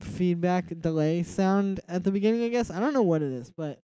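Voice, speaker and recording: A man's voice: high voice, a little fast, clear, resonant tone, dry recording, poor audio. Nasal young man chatting casually on stream. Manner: relaxed and rambling, with many "like" fillers, mumbling asides to himself. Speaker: music producer and livestream host. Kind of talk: casual livestream chat while producing music. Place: small room at home, indoors.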